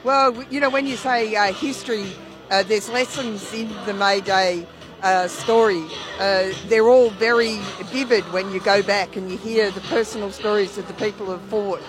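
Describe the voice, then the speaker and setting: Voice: medium pitch, steady tone, moderate pace, low-quality recording. A community radio presenter, doing a live outside broadcast and chatting easily with people. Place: outdoor street rally. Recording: crowd and music behind, outdoors.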